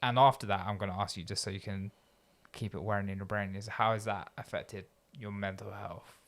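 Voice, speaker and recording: Low gravelly male podcast host. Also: steady tone, brisk, fairly bright tone. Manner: thoughtful, rambling questions with restarts. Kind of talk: conversational interview.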